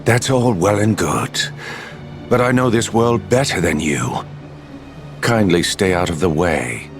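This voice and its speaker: An actor playing a suave, calculating gambler villain in a video game, with a genteel, smugly theatrical manner. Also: male voice, low-pitched, unhurried, music in the background. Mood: cool, guarded. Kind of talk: video game cutscene acting.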